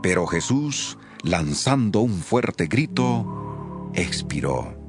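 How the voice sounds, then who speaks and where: A man narrating scripture from a script in a solemn, expressive manner. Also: deep voice, very slow, music in the background.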